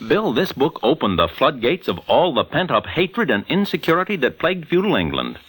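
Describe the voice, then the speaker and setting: A man's voice: on the high side, wildly swooping pitch, brisk, fairly bright tone, slight background noise, rough recording. An American man hosting in an emphatic, polished 1940s newsreel style on vintage radio. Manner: dramatic, emphatic, polished announcer delivery. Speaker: radio host and commentator.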